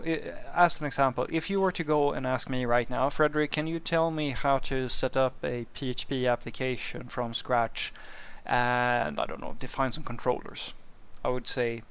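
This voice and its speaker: A man from Sweden, a native Swedish speaker, talks through a programming question off the cuff. His voice has a gravelly, creaky edge and sits at an ordinary middle pitch. He rambles, restarts his sentences and piles up fillers as he thinks aloud. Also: steady tone, normal speed, a bit bright. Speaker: software developer answering a viewer question. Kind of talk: casual unscripted monologue.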